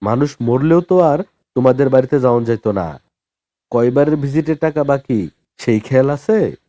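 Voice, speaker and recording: A man acts out a cranky village doctor in an audiobook novel. He scolds in rustic, colloquial speech. The tone is mellow underneath, the intonation lively. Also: medium pitch, moderate pace, no echo, natural voice. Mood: irritated scolding. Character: gruff, grumbling village doctor, complaining about unpaid fees.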